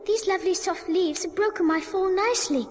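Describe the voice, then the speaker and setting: Young well-spoken girl, woman acting, very high, echoing long reverb, storybook. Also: fairly quick, slight background noise. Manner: prim, wondering, well-spoken. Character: polite curious young girl.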